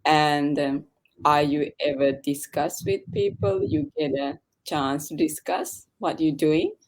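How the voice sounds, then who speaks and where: Slow, gravelly-voiced woman from Sri Lanka. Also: deep voice, wildly swooping pitch, darkish tone, poor audio. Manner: slow, hesitant, halting non-native English. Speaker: guest asking questions. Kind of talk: casual video call interview.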